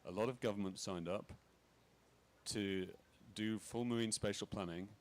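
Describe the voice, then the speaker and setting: A very deep-voiced man giving remarks at a conference panel. He talks in a halting way, with lots of "um"s and restarts as he works through his points. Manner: halting, many fillers and restarts. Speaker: panel speaker. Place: conference pavilion.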